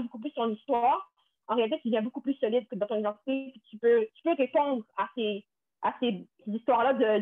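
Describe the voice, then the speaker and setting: A young woman from Quebec with a Québécois accent, speaking earnestly on a panel, restarting and repeating words often. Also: fairly high pitch. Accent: Québécois French. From Canada, Quebec. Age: young adult. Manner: earnest, many restarts and repetitions. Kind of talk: panel discussion, personal testimony. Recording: tinny phone-like line.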